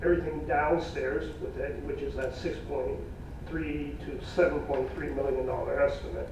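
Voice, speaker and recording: A man's voice: a little high, fairly even intonation, noisy background. Man presenting in an echoey meeting room. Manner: plain, folksy, halting with fillers. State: losing his voice. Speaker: staff presenter at a public meeting. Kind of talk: presenting cost estimates. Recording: echoey room from far off, dull.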